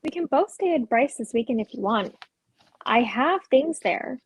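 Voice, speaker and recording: Husky, high-voiced wry young woman played by an actress. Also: lively intonation, moderate pace, heavily acted. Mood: guarded. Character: weary, wry young suburban woman, guarded. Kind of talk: dramatic script reading.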